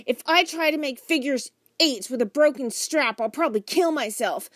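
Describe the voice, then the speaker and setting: Woman playing a shrill, whiny, dramatic little girl. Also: lively intonation, normal speed, fairly bright tone, no echo, studio quality. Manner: whiny, shrill, breathless urgency. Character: dramatic, squealing young girl, whiny and demanding. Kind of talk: children's audiobook character voice.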